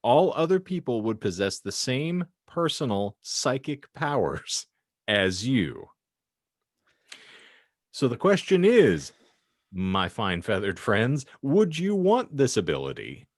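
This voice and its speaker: A man's voice: medium pitch, huge pitch swings, takes it slowly, no room sound, studio quality. A man hosting a podcast, moving between scripted narration and casual chat with theatrical, wordy phrasing. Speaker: podcast host.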